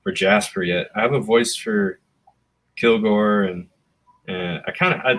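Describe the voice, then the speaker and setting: A man casually recounting a comic's plot, halting with fillers and restarts. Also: fairly low pitch, fairly bright tone, poor audio. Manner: rambling, halting storytelling. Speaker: comic artist guest. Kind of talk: casual chat.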